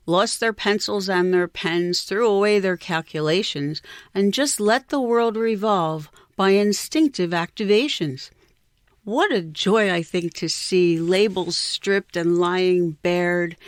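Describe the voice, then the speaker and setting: A woman's voice: fairly low pitch, no room sound, studio quality. A woman reciting her own rhyming poem as a poet. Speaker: poet reading own work.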